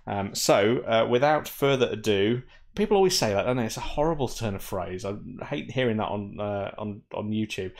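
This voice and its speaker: A man in his forties hosts a live-streamed tech meetup with a slightly low voice. He talks chattily, with lots of uhs and ums. He drops in wry asides along the way. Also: expressive, normal speed. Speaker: meetup organiser and host.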